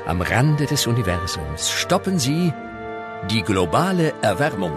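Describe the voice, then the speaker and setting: A man's voice: medium pitch, sing-song, a little slow, over loud music. A man narrating a comedic radio play from a script.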